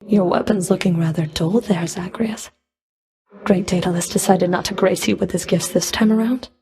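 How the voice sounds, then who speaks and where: A woman's voice: fairly low pitch, steady tone, moderate pace, warm tone, close to an everyday voice. A woman playing a stern, scornful underworld fury in a video game, with a cold, sardonic, taunting delivery. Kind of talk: scripted video game character dialogue.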